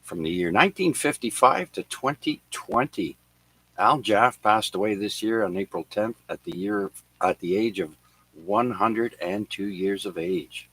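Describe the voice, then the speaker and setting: A man hosting an amateur radio net, reading notes on who was born and who died on this day, with many filler pauses. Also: lowish voice, fairly bright tone, husky, no room sound, rough recording. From Canada. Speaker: radio net control host. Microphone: radio transceiver microphone.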